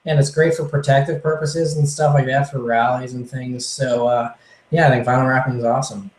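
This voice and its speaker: A man answering viewer questions on a casual livestream, rambling and relaxed with many fillers, his voice a little hoarse. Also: mid-range voice, brisk, echoey room, poor audio. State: slightly hoarse, losing his voice.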